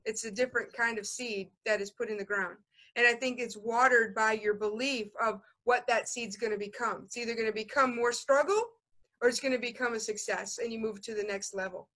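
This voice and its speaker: Female interview host, upbeat and affirming, somewhat high pitched. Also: fairly even intonation, brisk, sharp tone, low-quality recording. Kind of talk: casual interview chat.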